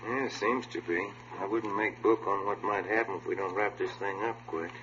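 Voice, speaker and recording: An actor playing a watchful, matter-of-fact police detective in a 1950s radio drama, with an informal way of talking. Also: male voice, lowish voice, normal speed, background noise, natural voice. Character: plain-spoken, watchful male police detective. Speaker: supporting actor. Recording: muffled, humming vintage radio recording.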